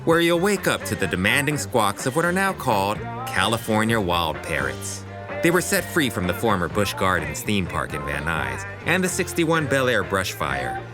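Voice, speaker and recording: An actor playing the city of Los Angeles as a wry, proud, theatrical narrator in an audio drama. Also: male voice, on the low side, sing-song, normal speed, music in the background. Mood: wry, stately.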